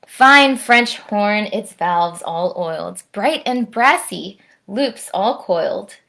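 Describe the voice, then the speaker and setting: A woman, around her thirties, speaking to young children in an expressive storytime style, slowly and directly, recorded at home on a device microphone. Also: mid-range voice, creaky. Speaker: storytime presenter, orchestra musician. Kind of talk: children's read-aloud and friendly chat to camera. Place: at home, indoors.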